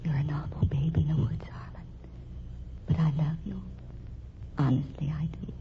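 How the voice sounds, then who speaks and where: Muffled old radio drama villainess. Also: female voice, low voice, level tone, moderate pace, slight background noise. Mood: secretive, coaxing. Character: cunning married murderess, indignant then coolly menacing. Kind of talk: mystery radio drama acting.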